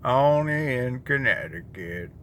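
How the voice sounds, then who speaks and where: Low voiced woman chiming in casually, talks super slow, car noise behind her. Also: expressive. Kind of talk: casual interjections. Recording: noisy moving car. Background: car and road noise.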